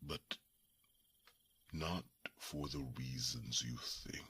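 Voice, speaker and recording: A man voicing a gruff, protective old dragon in an ASMR fantasy roleplay, with halting, formal speech and a breathy, gravelly rasp. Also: low voice, very animated, bright, forceful tone, full character voice. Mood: reluctant confession. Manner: halting, formal, grumbling, theatrical pauses. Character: ancient gruff male dragon, flustered, protective, secretly lovesick.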